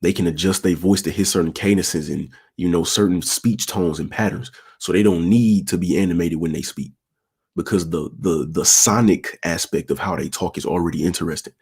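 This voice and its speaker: A young man with a very deep, gravelly, husky voice that stays flat and monotone. He gives dating advice to his viewers in an easygoing, conversational way and keeps checking in with the listener as he talks. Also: moderate pace. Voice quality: low, monotone, raspy voice. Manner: laid-back, peppered with "you feel me", talks with his hands. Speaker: channel host giving dating advice. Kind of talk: casual advice talk answering viewer questions.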